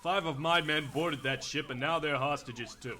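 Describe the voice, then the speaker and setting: An actor playing an exasperated, sardonic police captain in a radio drama, plain-spoken and dry. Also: male voice, on the high side, moderate pace, sharp tone, a little hiss or hum. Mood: weary, sardonic.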